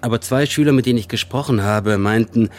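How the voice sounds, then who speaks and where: A man's voice: medium pitch, fairly quick, a little mellow, a little hiss or hum, close to an everyday voice. An actor playing a matter-of-fact police officer in a radio play, giving a plain, factual report to his superior.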